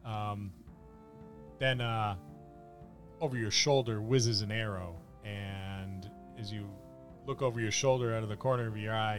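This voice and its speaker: A man working as a game master, improvising halting, descriptive narration of a tabletop fantasy battle. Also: fairly low pitch.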